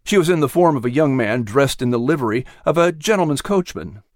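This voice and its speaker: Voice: mid-range voice, expressive, a little fast, no echo, studio quality. A narrator reading a scripted children's story audiobook.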